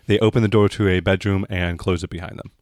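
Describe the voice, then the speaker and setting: Male game master, lower register, descriptive but off-the-cuff, dry sound. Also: steady tone, fast, darkish tone, no echo. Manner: casual, descriptive, searching for words. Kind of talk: improvised narration of a tabletop game.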